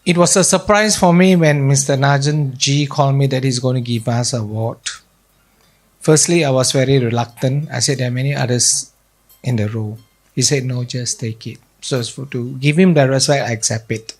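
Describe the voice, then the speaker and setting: A man from Singapore giving a slow, halting thank-you speech on receiving an award. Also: mid-range voice. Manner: slow, halting and plainly worded.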